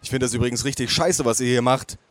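A man's voice: medium pitch, fast, no echo, lightly acted. A man playing a grumpy, sarcastic grown son in a story reading, dry and indignant. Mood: exasperated.

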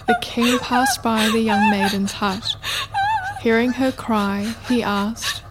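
A woman reading scripted narration for a radio play in a measured, deliberate way. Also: fairly high pitch, steady tone, very noisy.

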